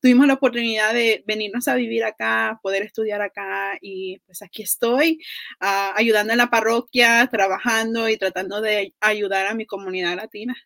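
A woman's voice: medium pitch, steady tone, normal speed, gravelly, a bit bright, dry recording. A woman from El Salvador with a Salvadoran accent, talking casually as an interview guest. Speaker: interview guest, community health worker.

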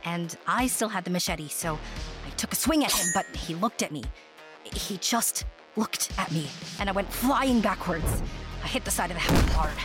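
A woman's voice: fairly low pitch, expressive, normal speed, lightly acted. A woman playing a brash, candid young friend who narrates wryly and conversationally in a horror-comedy audio drama. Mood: breathless excitement. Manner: conversational, wry. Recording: prominent music underneath.

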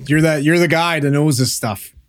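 A man's voice: on the high side, normal speed. A man co-hosting a podcast, teasing the others and swearing freely in casual talk. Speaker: podcast co-host. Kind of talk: casual chat.

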